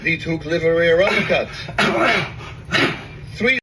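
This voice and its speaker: A man's voice: on the high side, slow, very noisy. A man in his sixties from the Netherlands, coaching and calling out punch combinations in short commands. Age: 60s. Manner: calls out punch combos in short barked commands. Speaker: fighting coach.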